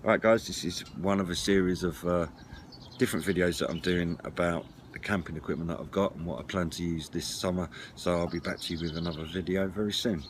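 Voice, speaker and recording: A man's voice: low voice, fairly even intonation, slight background noise. A middle-aged British man giving an informal, hesitant gear demonstration to camera, sitting outdoors. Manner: hesitant, halting, explanatory. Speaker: outdoor gear and CB radio vlogger. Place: outdoors at a wooden patio table.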